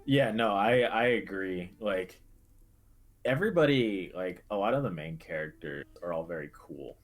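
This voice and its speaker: A man co-hosting a casual chat about video games, speaking in a halting, understated way. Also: low-pitched, expressive, low-quality recording. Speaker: podcast co-host. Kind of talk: casual video game discussion. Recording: music playing behind the voice.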